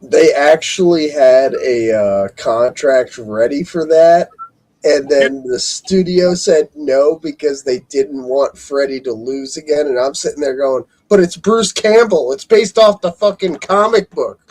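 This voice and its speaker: A man hosting a podcast, asking casual interview questions in a relaxed way and swearing now and then. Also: a little high, measured pace, no room sound, poor audio. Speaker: podcast host.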